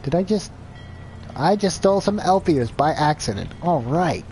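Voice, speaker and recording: A man's voice: on the high side, very animated, moderate pace, darkish tone, a little hiss or hum. A man streaming a video game live, chatting casually and thinking aloud in a rambling, self-deprecating way. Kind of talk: casual gameplay commentary.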